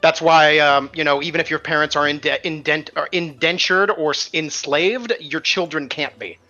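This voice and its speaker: A man's voice: fairly high pitch, fairly even intonation, moderate pace, sharp tone. A man running a tabletop game as game master, casually explaining the world's lore and thinking aloud as he goes. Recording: slightly dull lo-fi audio over faint music. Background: faint music.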